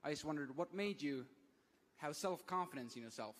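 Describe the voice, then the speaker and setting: A man's voice: on the high side, lively intonation, fairly quick. Polite admiring young man in a hall. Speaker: audience member, gymnast. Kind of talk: asking a question from the floor. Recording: reverberant event hall.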